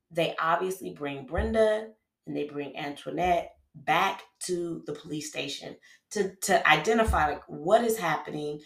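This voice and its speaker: Podcast host with a cold, a woman with a lowish voice, casual true-crime storytelling in an echoey room. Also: gravelly. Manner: conversational, with frequent fillers and restarts. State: has a cold, sniffling. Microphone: podcast microphone. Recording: roomy echo.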